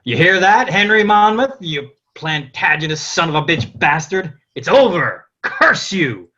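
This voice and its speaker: A man's voice: on the high side, sing-song, unhurried, pushed and bright, exaggerated voice. An actor playing a cocky, foul-mouthed young French king who gloats and swaggers like a stage showman, over a lo-fi online call. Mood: gloating, angry. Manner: boastful, indignant, swaggering. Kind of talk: theatrical comic monologue in a table read. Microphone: video call.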